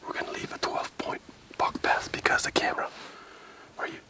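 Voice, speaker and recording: A man hunting from a tree stand, whispering urgently and with frustration. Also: a little fast. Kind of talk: whispered field talk.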